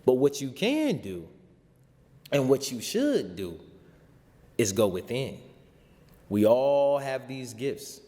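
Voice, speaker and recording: A man's voice: medium pitch, big pitch swings, slow, pauses often, mellow. A man giving an impassioned, sermon-like spiritual talk in informal vernacular, with rhetorical repetition. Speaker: show host and spiritual teacher. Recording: long hall-like reverb.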